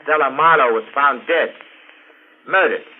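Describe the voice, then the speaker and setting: A man's voice: medium pitch, measured pace, lightly acted. An actor playing a brash, slangy policeman in a 1940s radio drama. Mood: urgent. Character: brash, slangy policeman reporting to his boss. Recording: noisy, tinny 1940s radio recording.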